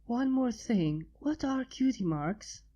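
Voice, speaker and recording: A timid little dragon girl speaks in a hushed, faltering way, as a woman reader puts her on. The voice is mellow and gentle, pitched just above the reader's own, and sits a bit back from the mic. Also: expressive, slow pace, muffled recording. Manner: hesitant and soft-spoken. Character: shy young female dragon child.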